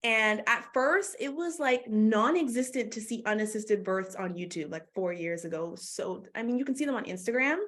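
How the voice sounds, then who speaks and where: A woman from Canada, talking casually as a guest in an interview, full of "like" fillers and quick laughs. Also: a little high, lively intonation, fairly bright tone. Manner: chatty, many "like" fillers, laughs often. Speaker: guest, doula.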